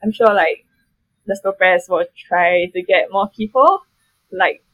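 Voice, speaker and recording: A woman's voice: mid-range voice, unhurried, highs cut off, noisy background. A young Singaporean woman speaking as a guest in a casual panel chat, rambling with many fillers. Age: young adult.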